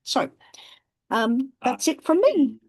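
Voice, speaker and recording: A woman's voice: high voice, very animated, slow, darkish tone, slightly rough. A woman talking casually on a video call, thoughtful and a little hesitant. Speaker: medical professional. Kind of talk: casual sharing in an online meeting.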